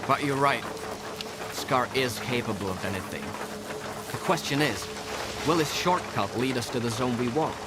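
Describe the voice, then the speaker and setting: An actor playing a worried, blunt stalker fighter in a video game drama. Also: male voice, medium pitch, moderate pace, lightly acted. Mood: grim, resolved. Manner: blunt, swearing, urgent. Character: gritty, worried male stalker fighter in a post-apocalyptic zone. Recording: loud rain and ambient noise.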